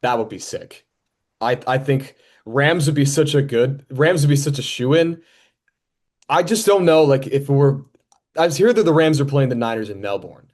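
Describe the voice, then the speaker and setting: A man's voice: medium pitch, moderate pace, darkish tone. A young man hosting a podcast, talking sports in a casual, conversational way.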